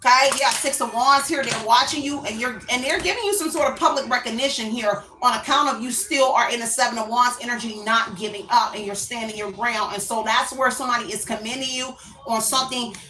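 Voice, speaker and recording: Woman tarot reader, bright and sharp-toned, chatty and meandering, talks to viewers as "baby.". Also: fairly high pitch, brisk, reverberant, slight background noise. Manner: rambling and unsure, often adds "I don't know". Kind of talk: casual spoken tarot reading to viewers.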